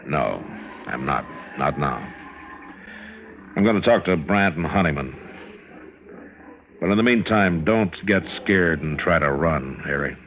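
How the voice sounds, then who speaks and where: An actor playing a calm, firm American frontier marshal in a radio western, speaking in a measured, plain, authoritative way. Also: male voice, rumbling low, exaggerated voice. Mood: firm, grave. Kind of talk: old-time radio western acting. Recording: dull old radio recording, faint noise.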